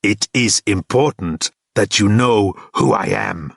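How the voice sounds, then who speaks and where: Brief: a man voicing a towering horned thunder god, deep-voiced and solemn, measured and literal, dry close recording. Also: vocal fry, breathy edge, no echo, full character voice. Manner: grave, formal declarations. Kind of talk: audiobook character voice.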